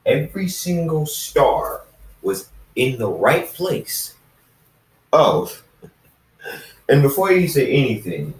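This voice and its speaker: A man reading a story aloud informally, halting and casual, with dramatic pauses and asides to listeners. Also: medium pitch, darkish tone. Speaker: fan fiction narrator reading own recording. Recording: roomy, echoey, distant microphone.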